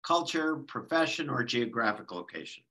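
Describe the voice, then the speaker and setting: A man conducting an interview over a video call, putting his questions in measured, semi-formal phrasing. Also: medium pitch, expressive, bright, forceful tone, poor audio.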